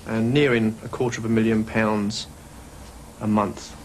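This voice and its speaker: A man's voice: on the low side, flat delivery, measured pace, slight background noise, rough recording. A man in the radio trade giving interview answers.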